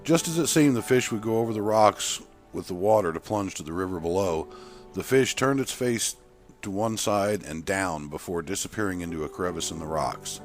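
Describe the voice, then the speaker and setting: Male narrator, low register, scripted short fiction read, soft music bed. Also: moderate pace. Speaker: author and narrator. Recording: music behind the voice.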